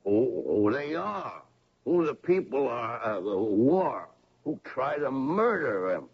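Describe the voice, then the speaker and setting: An actor playing a half-mad, confused alcoholic derelict in a radio drama, halting and repeating himself as he pleads. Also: mid-range voice, sing-song, gravelly, slightly rough, full character voice. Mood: haunted, straining. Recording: dull, lo-fi old radio recording.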